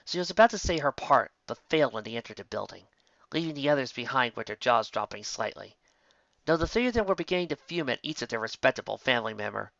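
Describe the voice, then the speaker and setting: Bright, animated male story narrator. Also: medium pitch, fast talker, creaky, dry recording, muffled recording. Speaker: narrator reading fan fiction.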